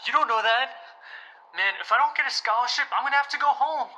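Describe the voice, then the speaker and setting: An actor playing an anxious, injured high school basketball star in an audio drama, speaking in agitated, casual slang. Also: male voice, brisk, pushed and bright, highs cut off, heavily acted. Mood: upset, defensive. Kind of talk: scripted audio drama dialogue.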